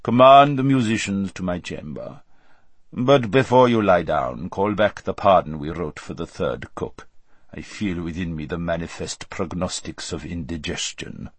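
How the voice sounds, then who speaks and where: A deep, pompous old tyrant king speaks slowly and grandly, as a man reads him. His voice is very low and husky, in a dry, close recording. It is a storybook reading. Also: no echo. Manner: slow, pompous, measured.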